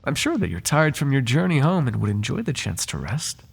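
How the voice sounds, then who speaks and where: A man's voice: mid-range voice, sing-song, fairly quick, vocal fry, slightly rough, heavily acted. A man playing a devoted, lovesick butler in an intimate ASMR roleplay, flirting with his master in formal, courtly, teasing and tender words. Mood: tender, coaxing.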